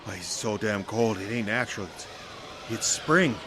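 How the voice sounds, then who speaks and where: A man's voice: lowish voice, lively intonation, moderate pace, background noise, close to an everyday voice. A man playing a bitter, stubborn ex-soldier in an audio drama, with a blunt, folksy, defensive way of talking. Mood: alarmed, panicking. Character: bitter, defiant ex-soldier, a stubborn male captive.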